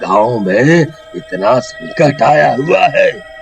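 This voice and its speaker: An old village elder played by a man in an animated story. He grumbles and admonishes very slowly, with a lively rise and fall. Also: mid-range voice, vocal fry, faint music behind. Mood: scolding, concerned.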